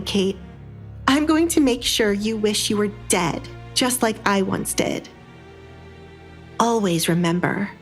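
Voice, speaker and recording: A woman's voice: mid-range voice, natural voice. A woman voicing a wronged young noblewoman in an audio drama, stern, defiant and vengeful. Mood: cold, threatening. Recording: background music under the voice.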